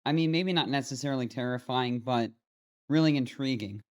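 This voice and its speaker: A man's voice: mid-range voice, brisk, darkish tone. An American man hosting a commentary channel, talking casually and directly to his viewers. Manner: informal, addressing viewers directly.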